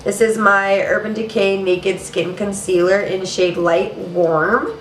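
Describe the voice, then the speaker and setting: A woman's voice: medium pitch, steady tone, takes it slowly, slight background noise. A young woman hosting a makeup tutorial in a casual, chatty way, a little nasal and congested from allergies. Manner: chatty, casual, says "girl" and "you guys". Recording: roomy, mic at some distance.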